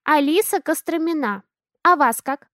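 Женщина изображает звонкую четырёхлетнюю девочку. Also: female voice, measured pace, dry recording, clean studio sound. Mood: curious, chatty. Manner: high, bright, innocent chatter. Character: little girl of four, chatty and curious.

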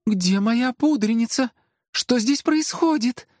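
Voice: medium pitch, animated, slow pace, no room sound, voice pushed up. A performer voicing a jumpy, dramatic young woman lab assistant in a children's audio story. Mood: alarmed.

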